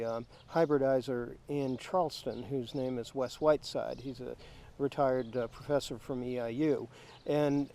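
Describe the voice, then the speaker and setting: A man explains his work in a mellow, relaxed voice, pausing often and restarting his phrases. Faint rural noise hangs behind him outdoors. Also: medium pitch. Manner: halting, with fillers and restarts. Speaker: daylily grower. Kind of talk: casual explanatory interview answers. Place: outdoor garden.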